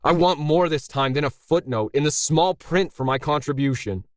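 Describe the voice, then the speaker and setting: A man's voice: a little high, normal speed, dry recording, studio quality, pitched above the performer's own voice, lightly acted. A man voicing a bitter middle-aged male artist in a story, indignant, pressing and sarcastic. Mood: bitter, aggrieved.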